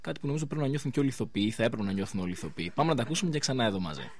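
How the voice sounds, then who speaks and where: A man hosting a live radio interview in speech, chatting informally with pauses as he goes. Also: medium pitch, sing-song. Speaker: radio show host.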